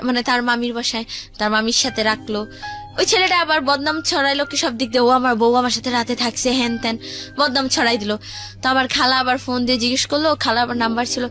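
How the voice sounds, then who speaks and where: A young Bangladeshi woman with a high voice tells a personal story in a casual, colloquial way. She slips into quoted speech as she goes. Music plays softly behind her. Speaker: guest telling her life story.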